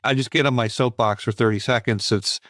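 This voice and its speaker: A man's voice: medium pitch, fairly even intonation, fairly quick, fairly bright tone, dry recording, clean studio sound. A man hosting a podcast interview, chatting in a thoughtful, conversational way. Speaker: podcast host.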